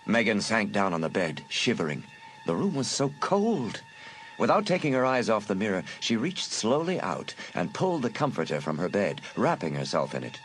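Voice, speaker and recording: A man's voice: on the low side, sing-song, normal speed, over music, low-quality recording. A man narrating a suspense story as a dramatic, scripted audiobook.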